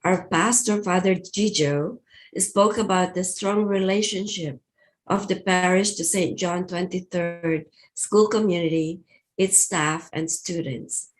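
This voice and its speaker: A woman's voice: lowish voice, a little slow. A woman school board trustee sharing good news in a meeting, friendly and slightly hesitant, with small restarts. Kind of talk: giving a report in a meeting.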